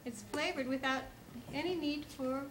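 A woman's voice: high voice, fairly even intonation, moderate pace, a little hiss or hum. A middle-aged woman hosting a cooking show, patiently guiding a child through a recipe with polite requests. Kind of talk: cooking demonstration for kids. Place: indoor studio kitchen set.

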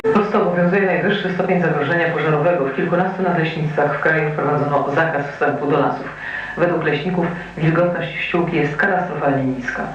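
A woman presenting the evening TV news in a formal, measured delivery. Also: sits low, steady tone, distant mic, muffled recording, a little hiss or hum. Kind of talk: TV news reading. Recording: echoey TV taped off the air.

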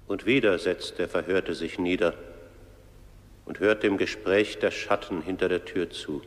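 An actor playing the solemn narrator of a trial in a radio play. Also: male voice, low-pitched, fairly even intonation, a little slow. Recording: long hall-like reverb.

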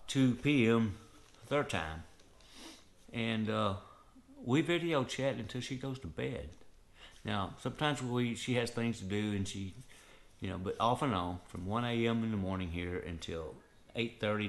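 An older man with a Southern US accent, telling a personal story to camera in an earnest, rambling way, with frequent "and, uh" fillers. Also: on the low side. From Southern United States. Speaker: vlogger. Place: living room, indoors.

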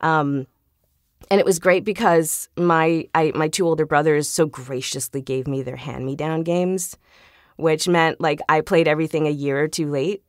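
A woman talking casually and chattily as an interview guest, telling stories in an animated way. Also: deep voice, normal speed, dry recording, clean studio sound. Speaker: podcast guest, video game voice actor.

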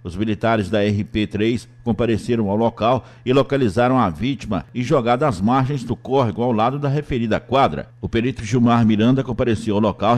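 A Brazilian man with a Brazilian Portuguese accent, reading a police news bulletin in a formal, measured way. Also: mid-range voice, darkish tone. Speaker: reporter and announcer.

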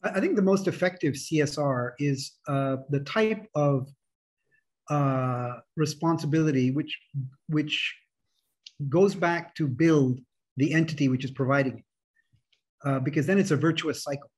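A middle-aged man with a husky voice, explaining his views as a panel speaker on a video call. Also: on the high side, a little slow. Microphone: video call microphone.

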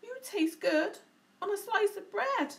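A woman's voice: extremely high pitch, lively intonation, slow pace, creaky, a bit bright, far from the mic, pitched above the performer's own voice, heavily acted. A woman voicing a big, hungry, gullible monster in a children's storybook, emphatic in delivery.

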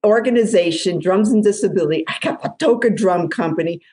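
A woman's voice: fairly quick, dark tone. A woman drum therapist, a podcast guest, telling stories with energy and acting out other people's voices. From New Jersey. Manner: acts out other people's voices with big jumps in pitch. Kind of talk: animated storytelling in conversation.

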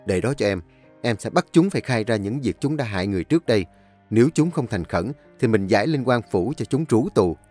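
A man's voice: on the low side, animated, brisk, darkish tone, soft background music. A man voicing a stern young master of a rich house who barks orders at servants. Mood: calming. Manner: barking orders.

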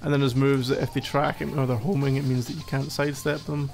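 A man's voice: medium pitch, little pitch movement, fast, vocal fry, a little mellow, faint music behind, lightly acted. A man playing a needy, playfully exasperated gamer boyfriend in a casual, intimate ASMR roleplay, teasing as he talks. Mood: explaining patiently. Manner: teasing, mock-exasperated, conversational.

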